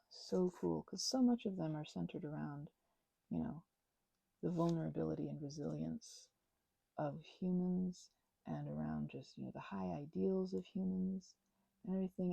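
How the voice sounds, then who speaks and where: A woman rambling casually and unscripted while crafting, halting and wandering off on tangents, with excitable, gushing exclamations. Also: on the low side, creaky, husky, no echo, poor audio. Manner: halting, digressive, with gasps and exclamations. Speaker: craft and ASMR channel creator.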